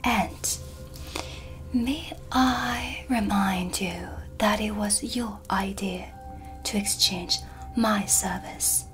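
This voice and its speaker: A woman voicing a sly, composed dark witch in a soft, breathy, deliberate ASMR roleplay, close to the mic. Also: medium pitch. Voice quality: breathy, whispery. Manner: slow, deliberate, theatrical. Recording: music behind the voice. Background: ambient music.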